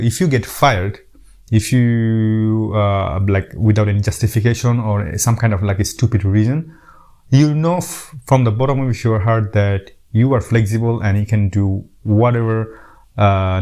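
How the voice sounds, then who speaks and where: A man's voice: fairly low pitch, moderate pace, dark tone. A middle-aged man from Bangladesh with a Bangladeshi accent, giving earnest casual advice to camera, often repeating words and restarting his sentences. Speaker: YouTube host.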